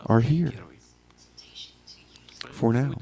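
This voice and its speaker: A man hosting a radio show with casual, rambling DJ patter in a halting, tongue-in-cheek, mock-official manner. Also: mid-range voice, vocal fry, mellow.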